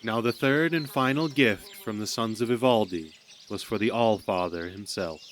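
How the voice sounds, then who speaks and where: A man's voice: lowish voice, normal speed, slight background noise. A man narrating a myth as a scripted storyteller in an audio drama.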